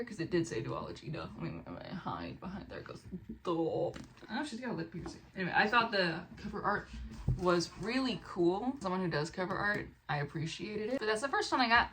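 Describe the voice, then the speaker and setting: A young woman with an American accent and a bright, forward voice talks casually, like a vlogger. She rambles expressively and breaks off her own sentences. Noticeable room noise sits behind her. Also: mid-range voice. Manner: chatty, rambling, expressive, self-interrupting. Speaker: book vlogger, author. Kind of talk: casual chat to camera, book haul. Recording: clear background room noise.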